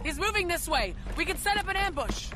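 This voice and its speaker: A man's voice: extremely high pitch, lively intonation, brisk, music in the background. A man playing a determined soldier in a video game cutscene, speaking in clipped, urgent tactical phrases. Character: urgent, determined male soldier on a combat mission.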